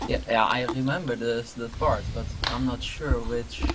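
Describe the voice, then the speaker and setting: A man from the Netherlands with a Dutch accent, calling into a stream over voice chat and explaining haltingly with many fillers. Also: medium pitch, fairly even intonation, heavy background noise. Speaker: fan guest on voice chat. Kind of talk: casual voice-chat call-in.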